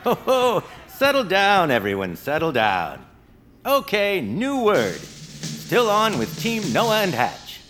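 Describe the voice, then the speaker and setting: Animated, cheery radio quiz host. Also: male voice, high-pitched, slow pace, soft background music, full character voice. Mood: firm, genial. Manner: politely officious. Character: cheerful, unflappable male radio game-show host. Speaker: game show host. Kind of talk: showy quiz-show presenting in audio drama.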